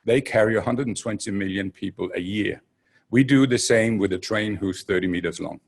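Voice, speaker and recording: A man's voice: on the low side, fairly quick. A middle-aged Danish man with a Danish accent, giving a rambling, filler-heavy talk on a conference panel into a handheld mic. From Denmark. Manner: loose, rambling with many fillers and restarts.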